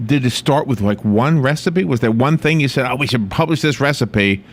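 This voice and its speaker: A man's voice: medium pitch, animated, normal speed. A man from the United States hosting a local radio interview, chatty and teasing, with many restarts. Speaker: radio host.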